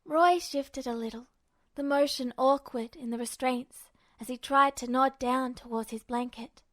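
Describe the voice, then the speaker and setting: A woman reading a story aloud as an amateur fiction narrator, in an expressive scripted style. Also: high voice, measured pace, no echo, clean studio sound.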